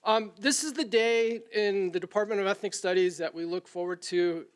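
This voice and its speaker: A man opening a university department graduation ceremony, speaking with a scratchy voice and a relaxed, loose manner, dropping in Spanish words. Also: sits high, normal speed, clear, resonant tone. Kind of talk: ceremony welcome speech.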